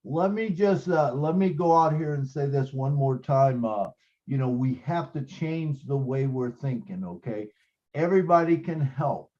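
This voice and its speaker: A man's voice: mid-range voice, unhurried, warm tone, muffled recording. A man hosting an online live stream, upbeat and encouraging.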